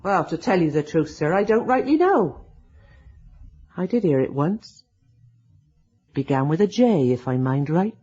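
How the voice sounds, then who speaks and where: An actor playing an elderly, deferential rustic servant with a husky voice, hesitant and gossipy, in a radio drama. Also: medium pitch, big pitch swings, a little slow, long pauses, lo-fi sound. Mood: confiding. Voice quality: husky, breathy. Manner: hesitant, repeating, hushed storytelling.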